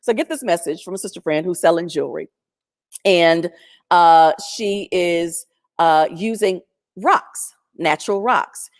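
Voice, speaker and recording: A woman telling a personal story in a chatty, animated way, speaking over a video call in a strong, loud voice. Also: on the low side, a little slow. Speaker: featured guest. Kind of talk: storytelling testimony.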